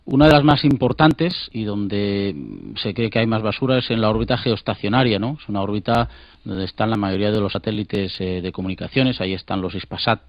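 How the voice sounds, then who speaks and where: A man gives an informal expert explanation in a fairly low voice, feeling his way through it. The sound is dry and slightly dull, like a played-back radio clip. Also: steady tone, a little fast, dry recording. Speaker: radio interview guest explaining science.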